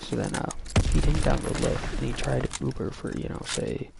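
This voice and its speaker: A young adult man telling a personal story casually, in a rambling, halting way. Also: medium pitch, gravelly, very noisy.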